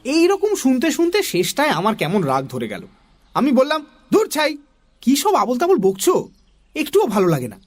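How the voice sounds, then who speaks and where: Male narrator for a children's audio story: high voice, very animated intonation, expressive and puzzled in dialogue, music behind. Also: normal speed.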